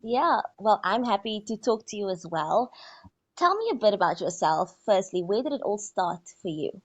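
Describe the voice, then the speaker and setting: A woman's voice: mid-range voice, sing-song, normal speed, highs cut off. A woman hosting a podcast, speaking in an upbeat, presenter-like way. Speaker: podcast host. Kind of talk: scripted intro, then interview.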